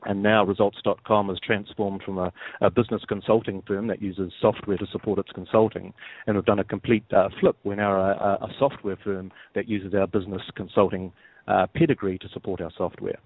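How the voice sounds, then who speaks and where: A man with a New Zealand accent, a guest on a radio interview, explaining things in a measured way and often repeating words as he starts a sentence. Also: lowish voice, fairly even intonation, dry recording. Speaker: guest, software firm executive. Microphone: phone. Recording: tinny phone line.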